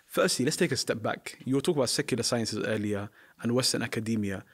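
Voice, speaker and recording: A man's voice: mid-range voice, fast. A man who teaches the Quran, speaking as a podcast guest in an emphatic, argumentative way full of rhetorical questions. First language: not Arabic. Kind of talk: podcast conversation.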